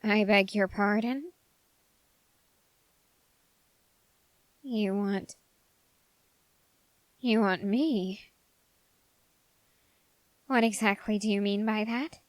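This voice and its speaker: Woman voicing a slow, deliberate, ambitious young man. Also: mid-range voice, dry recording, lightly acted. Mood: wary, submissive. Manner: deliberate, halting, formal phrasing with drawn-out pauses. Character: ambitious, dark-hearted young male human bargaining with a demon. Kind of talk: ASMR roleplay acting.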